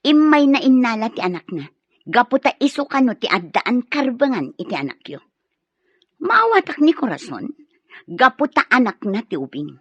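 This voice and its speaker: A sharp, bossy lady of the house, her voice swinging high and low as she scolds. Her tone is a little husky and dry, and the recording sounds dull and lo-fi. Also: very animated, normal speed, no echo, exaggerated voice. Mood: accusing. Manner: scolding, cutting, commanding. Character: stern wife of the house, scornful, bossy. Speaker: actress in an audio drama. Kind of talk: radio drama acting.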